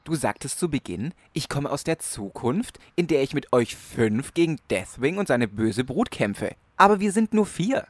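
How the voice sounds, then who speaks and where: A polite young hero, played by a man, mid-pitched with lively rises and falls. He is earnest and questioning, in a dry-sounding fantasy parody radio play. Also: moderate pace, few pauses, no room sound. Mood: puzzled. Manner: polite, inquisitive. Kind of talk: comedic fantasy audio drama parody.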